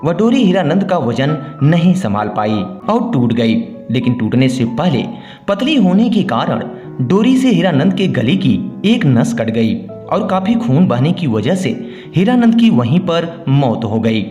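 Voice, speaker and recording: A man's voice: on the high side, sing-song, unhurried, warm tone, dull audio. A man narrating a scripted moral story as a storyteller. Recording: roomy echo, music behind. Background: background music.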